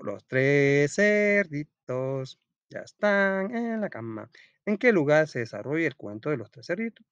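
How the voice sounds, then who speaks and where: Lively Salvadoran male teacher, dull recording. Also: mid-range voice, dry recording. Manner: colloquial, chatty, asks questions and answers them himself. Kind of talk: informal school lesson, reading aloud and explaining.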